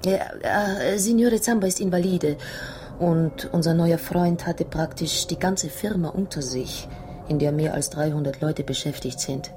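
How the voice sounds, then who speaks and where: An actress in a radio drama playing a young Italian wife who tells her past in a halting, dramatic, emotional way. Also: low-pitched, background noise. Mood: wistful, earnest.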